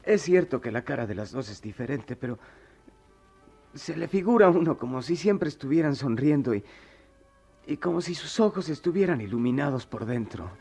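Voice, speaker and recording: An actor playing a troubled, proud, remorseful working-class former pupil in a radio soap, with a Mexican accent and halting, colloquial speech. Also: male voice, mid-range voice, vocal fry, a little mellow, slightly rough, heavily acted. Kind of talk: melodramatic radio soap acting.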